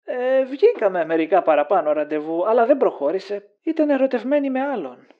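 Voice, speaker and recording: A woman's voice: medium pitch, very animated, natural voice. A woman playing a lovesick, self-deprecating young man in an audio drama, casual and guarded with a wistful manner. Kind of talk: conversational audio drama acting. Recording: dull lo-fi audio.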